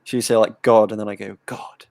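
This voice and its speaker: A man hosting a live watch-along, chatting casually, reading out viewer comments and giving shout-outs. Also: fairly low pitch, level tone, fairly quick, gravelly, no room sound, studio quality. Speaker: host. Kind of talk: casual chat.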